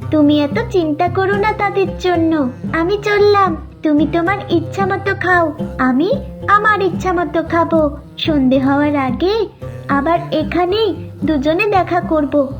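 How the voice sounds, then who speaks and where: Woman voicing a high-pitched, greedy little cartoon bird. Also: a little slow, highs cut off, music in the background. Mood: dismissive, carefree. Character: greedy, impulsive, carefree young bird. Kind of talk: children's cartoon story voice acting.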